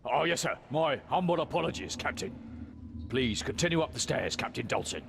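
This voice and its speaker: Creaky-voiced, nervous fortress guard. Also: male voice, mid-range voice, animated, fairly quick, slight background noise. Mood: deferential. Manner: deferential, stammering. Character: nervous, apologetic male fortress guard deferring to his captain. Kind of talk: video game voice acting.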